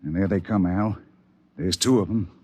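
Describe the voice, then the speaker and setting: A man's voice: low voice, moderate pace, darkish tone, breathy edge. An actor playing a blunt, bigoted middle-aged homesteader father on Mars in an old-time radio drama, with folksy colloquial speech. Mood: wary. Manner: blunt, dismissive. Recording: vintage radio drama broadcast.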